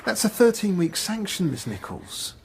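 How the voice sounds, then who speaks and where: An actor playing a stern, officious job centre advisor in a radio play, formal and accusing. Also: male voice, high-pitched, big pitch swings, moderate pace, a little mellow, slight background noise. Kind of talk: naturalistic radio play acting.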